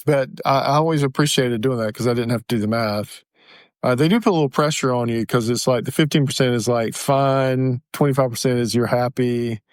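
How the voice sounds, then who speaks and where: A male podcast co-host talks in a relaxed, conversational style with a mid-pitched voice. He explains his points through everyday examples and anecdotes, and the sound is dry, without room echo. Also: brisk. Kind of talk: casual conversational chat.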